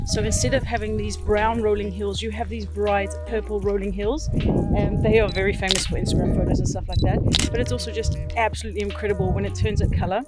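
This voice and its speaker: A woman from the Netherlands chatting and narrating casually as a hiking vlogger, with an enthusiastic, informal way of talking. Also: mid-range voice. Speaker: travel vlogger and hiker. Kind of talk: casual vlog chat and narration. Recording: music behind the voice. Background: music.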